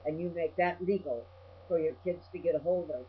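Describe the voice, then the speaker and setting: A woman's voice: low voice, fairly quick. An older woman video blogger giving a rambling personal monologue in a blunt, salty-tongued, ranting manner. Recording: dull lo-fi audio with mains hum.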